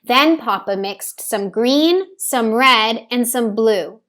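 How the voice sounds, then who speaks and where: A woman narrates a picture book for young children. Her voice sits a little high, and she reads very slowly, with careful, deliberate phrasing. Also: expressive, a bit bright. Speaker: storybook reader. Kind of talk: children's story read-aloud, scripted narration.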